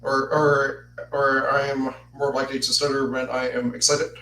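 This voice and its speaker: A man's voice: mid-range voice, level tone, moderate pace, sharp tone, recorded from a distance. A man in his twenties hosting a solo podcast, who stutters and repeats words as he partly reads from a script. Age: 20s. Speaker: podcast host. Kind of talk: solo podcast talk, partly read aloud. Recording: echoey room, voice off-mic, faint noise.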